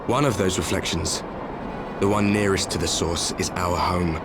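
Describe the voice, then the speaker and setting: Clear music plays under a low voice: a man acting as a grim, world-weary warrior in a fantasy game. He speaks solemnly, with deliberate, measured weight, as one from a doomed world. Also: fairly even intonation, natural voice, poor audio. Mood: solemn, grave. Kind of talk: dramatic video game cutscene acting.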